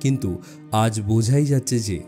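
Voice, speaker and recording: A man narrating a suspense story dramatically, with expressive phrasing and deliberate pauses. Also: mid-range voice, faint music behind. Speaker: audiobook narrator.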